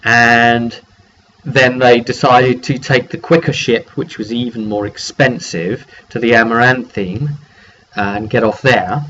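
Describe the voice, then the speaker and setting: Male game master, medium pitch, casual and meandering vlog recap. Dull home recording with faint hiss of noise. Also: fairly even intonation, unhurried, fairly bright tone. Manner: rambling, conversational, acts out brief dialogue. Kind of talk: casual one-take vlog recap of a tabletop campaign. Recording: dull lo-fi sound, clear background noise.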